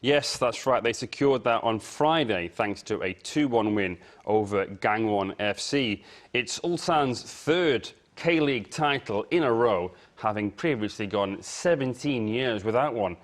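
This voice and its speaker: A man's voice: medium pitch, expressive, unhurried. A man working as a sports editor, delivering a broadcast sports report in a studio. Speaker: sports editor presenting sports news. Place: TV news studio.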